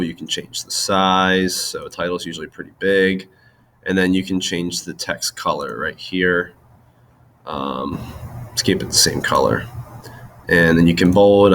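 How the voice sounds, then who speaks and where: A young man with a stuffed-up nose walks through a software tutorial in a loose, chatty way, full of ums and likes. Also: low-pitched, slight background noise. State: stuffy-nosed from allergies. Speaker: tutorial presenter. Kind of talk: casual screen-recorded software tutorial.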